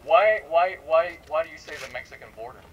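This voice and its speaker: A man's voice: on the high side, brisk, highs cut off. Man questioning students, distant mic. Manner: probing, rhetorical questions, repeats words. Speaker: panel speaker leading audience discussion. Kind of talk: informal talk, questioning students. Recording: distant mic, noisy room.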